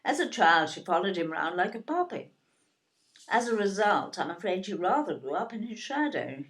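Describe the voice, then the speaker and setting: An actress playing a snobbish older mother from southern England in an audio play, genteel in accent, gushing and condescending in manner. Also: mid-range voice. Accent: southern English, genteel. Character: snobbish, gushing, genteel middle-class English mother-in-law type.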